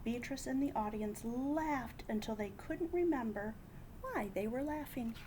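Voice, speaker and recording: A woman reading a children's picture book aloud in an animated, deliberate way, with dramatic hushes and emphasis. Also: a little high, a little hiss or hum. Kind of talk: expressive read-aloud storytelling.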